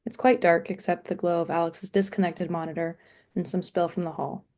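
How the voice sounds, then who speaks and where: Low, mellow, creaky woman narrator. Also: little pitch movement, brisk. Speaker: stage directions reader. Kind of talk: scripted narration at a table read.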